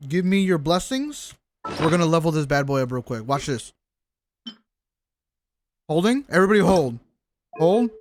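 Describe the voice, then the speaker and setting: A man's voice: a little high, sing-song, normal speed, long pauses, slight background noise. A man livestreaming a game, chatting casually in a jokey, exclamatory way with plenty of swearing. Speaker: game live streamer. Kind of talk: casual livestream chat while playing a game.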